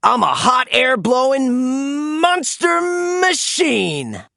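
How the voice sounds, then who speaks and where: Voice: sits high, sing-song, drawn-out words, creaky, sharp tone, dry recording, studio quality, voice pushed up, full character voice. A narrator voicing a cheerful, brave monster truck hero in a children's storybook. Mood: eager, excited.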